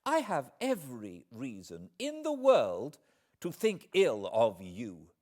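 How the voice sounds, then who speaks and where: A man voicing a spirited, indignant young English gentlewoman with an emphatic, articulate, accusing delivery in an audiobook. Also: medium pitch, wildly swooping pitch, takes it slowly, pitched above the performer's own voice, full character voice. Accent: British.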